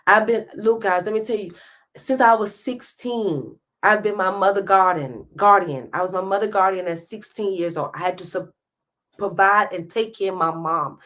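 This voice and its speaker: A woman's voice: medium pitch, fairly bright tone. A woman in her thirties hosting a live stream, giving a passionate motivational talk straight to her viewers. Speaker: host.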